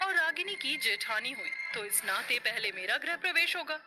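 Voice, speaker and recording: A woman's voice: high voice, lively intonation, normal speed, very noisy. A woman voicing a haughty, spiteful young bride in a cartoon story, sneering and complaining. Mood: smug. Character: haughty, spiteful young fair-skinned bride, vain and lazy. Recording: loud music under the voice.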